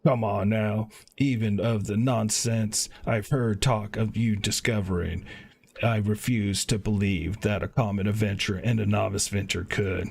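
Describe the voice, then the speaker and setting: A man voicing an excitable, proud wine connoisseur from a fantasy game, reading the lines with emphatic, theatrical delivery. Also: fairly low pitch, a little slow, gravelly, slightly rough, heavily acted. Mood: amazed. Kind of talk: reading game dialogue aloud.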